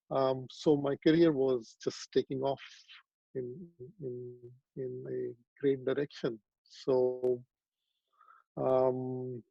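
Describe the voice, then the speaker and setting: A man's voice: mid-range voice, creaky, dark tone, no echo, lo-fi sound. A man, telling his story reflectively in an interview over a video call, with many ums and restarts. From Pakistan. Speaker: psychiatrist guest.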